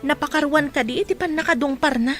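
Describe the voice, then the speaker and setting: Woman acting a high, chatty Filipino student. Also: fast talker, faint music behind, lightly acted. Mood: curious. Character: inquisitive, chatty young female student. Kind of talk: Ilocano audio drama acting.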